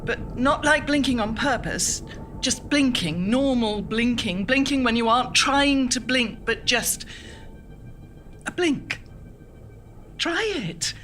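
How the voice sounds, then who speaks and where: A woman playing an ailing, distracted woman in a theatrical monologue, musing obsessively in halting, repetitive phrases that trail off. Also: fairly high pitch, a bit bright, full character voice. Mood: intense, fixated. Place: theatre stage.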